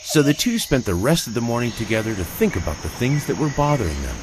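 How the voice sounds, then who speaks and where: A man's voice: mid-range voice, very animated, fast talker, noisy background. A man reading a children's story as a scripted storybook narrator.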